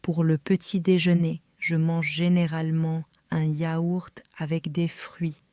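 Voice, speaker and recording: Woman, learner reading, slow, mellow low voice, calm careful phrasing. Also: clean tone, dry recording, studio quality. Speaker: French reader for learners. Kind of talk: slow scripted reading for beginners.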